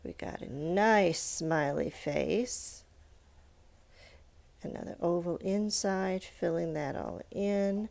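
A woman's voice: fairly low pitch, slow, gravelly, darkish tone. A woman giving an informal step-by-step drawing tutorial, thinking aloud and encouraging as she demonstrates. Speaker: drawing teacher.

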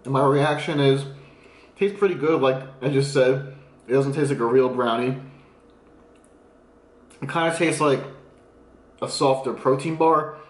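A man's voice: medium pitch, measured pace, long pauses, reverberant. A man in his twenties vlogging his meals at home, explaining his diet tips in a casual, upbeat way while eating on camera. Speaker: fitness vlogger. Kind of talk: casual food vlog. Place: small room at home, then home kitchen.

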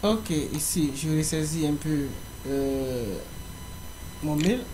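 A young man giving a screen-recorded walkthrough of an online application, pausing often with fillers as he explains each step. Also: on the high side. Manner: halting, frequent fillers. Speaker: tutorial presenter. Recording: faint background noise.

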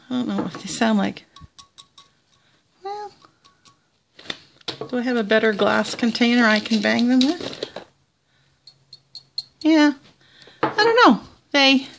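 Slow, chatty Southern Ontario woman. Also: a little high, creaky, a bit bright. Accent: Southern Ontario Canadian. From Canada. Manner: chatty, rambling, many fillers and restarts. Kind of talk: casual show-and-tell narration. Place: small indoor tabletop setup.

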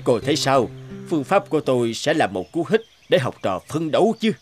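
A stern, competitive male teacher from a cartoon, voiced by a man, with a firm, lecturing delivery. His pitch swings widely as he makes his points. Music plays clearly under his voice. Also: very animated, a little fast, lightly acted. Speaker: teacher character. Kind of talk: animated fairy-tale dubbing. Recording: music bed behind voice.

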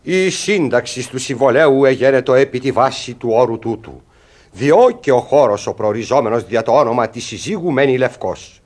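An actor playing a pedantic police commissioner in a radio comedy, speaking with pompous, archaic legal formality. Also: male voice, mid-range voice, animated, close to an everyday voice. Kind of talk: theatrical radio comedy acting.

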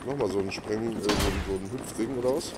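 Low-voiced man muttering through a game. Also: fast, heavy background noise. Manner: thinking aloud, muttering questions to himself. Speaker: gaming video host. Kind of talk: casual let's play commentary.

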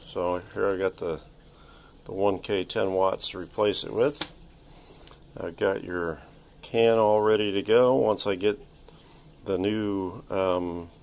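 A man with a low-pitched voice walks through a hands-on restoration job. He speaks casually and in a folksy way, pausing often as he thinks aloud. He is indoors in a workshop, picked up by a handheld device. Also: gravelly, a little hiss or hum. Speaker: hobbyist electronics restorer presenting his repair.

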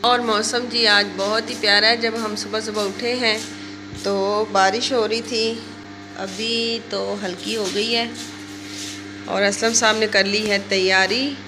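A woman's voice: mid-range voice, level tone, measured pace, over music, low-quality recording. A woman in her thirties with a sore throat, chatting to viewers in a home vlog, filming on a phone at arm's length. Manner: chatty, addresses viewers directly. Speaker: family vlogger. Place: home bedroom and rooftop with hens.

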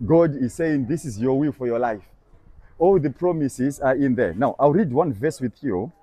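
A man's voice: mid-range voice, animated, moderate pace, warm tone, no echo. Earnest young male street preacher outdoors. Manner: earnest, persuasive, repeats verses back line by line. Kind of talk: street evangelism conversation. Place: busy outdoor shopping street.

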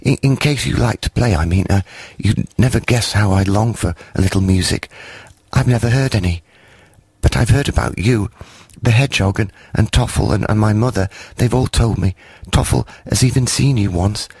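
Man doing small timid critter for a storybook: high, eager, stammery, husky. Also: normal speed, darkish tone, no echo. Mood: eager shy. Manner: breathless, stammering, pleading. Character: tiny shy eager furry creature, admiring.

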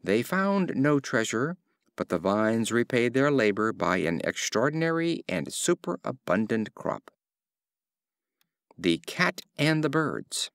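A man reads fables in an expressive storybook style. His medium-pitched voice rises and falls widely. The recording is dry, with little room sound. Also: takes it slowly, no room sound. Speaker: audiobook narrator.

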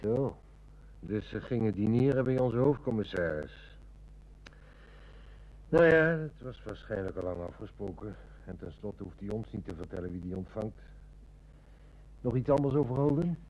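An actor playing a shrewd, commanding police inspector in a Dutch radio play, with an authoritative, probing manner. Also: male voice, lowish voice, lively intonation, normal speed, long pauses, a little mellow, muffled recording. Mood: probing, wry.

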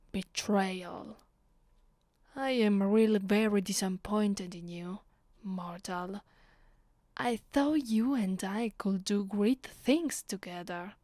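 A woman with an Italian accent playing a haughty, cold vampire mistress in a hushed ASMR roleplay, sometimes slipping into whispers. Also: mid-range voice, no echo, studio quality, lightly acted. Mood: cold, accusing. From Italy. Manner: slow, hushed, haughty delivery with whispered phrases. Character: haughty, cold female vampire mistress punishing her servant. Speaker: voice actress.

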